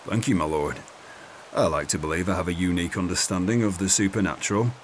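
A man's voice: low-pitched, fast, a little hiss or hum, close to an everyday voice. A man voicing a nervous, superstitious soldier who stammers and fawns in an audiobook. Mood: fawning. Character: nervous superstitious male soldier, dim and fawning.

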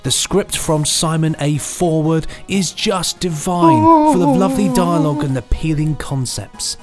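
A man's voice: fairly high pitch, lively intonation, unhurried, soft background music. A man co-hosting a podcast, playful in chat and reading out reviews. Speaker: podcast host.

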